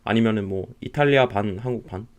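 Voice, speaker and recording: A man's voice: fairly low pitch, a little fast. A young man from Texas, a native English speaker with an American accent, chatting casually and rambling while he draws on a stream. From United States (Texas). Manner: relaxed, rambling, laughs often. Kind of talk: casual chat while drawing.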